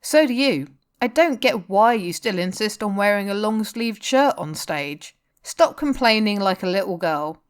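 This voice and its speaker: A woman's voice: mid-range voice, lively intonation, unhurried, clean tone, voice pushed up, close to an everyday voice. A woman voicing a blunt, teasing but caring male rock singer in story dialogue. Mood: bossy, caring. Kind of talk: audiobook-style character dialogue.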